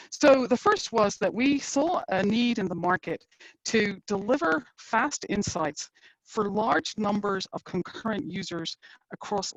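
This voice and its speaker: A middle-aged woman with an Irish accent gives a conversational company webinar presentation, filling pauses with uh and um. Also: medium pitch, dry recording, rough recording. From Ireland. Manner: conversational, frequent uh and um fillers. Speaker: engineering executive presenting a webinar.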